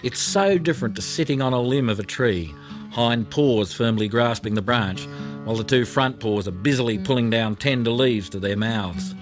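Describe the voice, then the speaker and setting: A man reading a children's story audiobook as narrator, with expressive storytelling delivery. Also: medium pitch, normal speed. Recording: music behind the voice.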